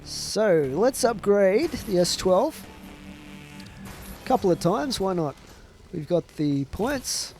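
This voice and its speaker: A man's voice: high voice, lively intonation, takes it slowly, long pauses, a little mellow, faint music behind. A young man with a stuffed-up voice from a chest infection, giving casual, rambling commentary over a zombie shooter game. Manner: chatty, trailing off with fillers. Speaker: gaming commentator.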